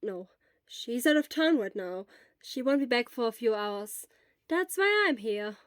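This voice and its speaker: A woman doing a breezy, loud young hero who teaches on the side. He talks high and bright, with an easy, joking warmth, in a dry, close storybook reading. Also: lively intonation, a little slow, no room sound. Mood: caring. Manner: raised bright casual voice.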